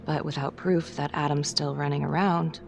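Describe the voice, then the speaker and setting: A guarded female homicide detective in a crime game. Her voice is slightly low, terse and wry, with clear music underneath. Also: fairly even intonation, normal speed. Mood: skeptical. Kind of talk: cinematic crime game voice acting.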